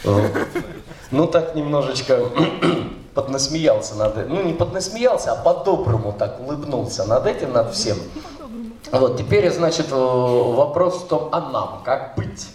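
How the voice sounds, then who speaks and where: A man's voice: mid-range voice, lively intonation, a little slow, darkish tone. A middle-aged man talks loosely into a handheld mic on a hall stage, drifting through fillers and restarts. His voice sits mid-range for a man. It comes from a distance, with the room ringing around it. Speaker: seminar speaker, dubbing director. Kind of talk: informal seminar talk. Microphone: handheld mic, filmed from the audience. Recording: echoey hall, recorded from far off.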